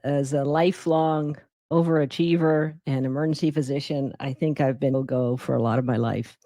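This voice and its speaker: A middle-aged woman from Guyana who grew up in New York, telling her personal story reflectively as an interview guest, with thoughtful, deliberate phrasing. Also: deep voice, steady tone, dark tone, no echo, studio quality. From born in Guyana, raised in the Bronx from age seven. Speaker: interview guest, emergency physician.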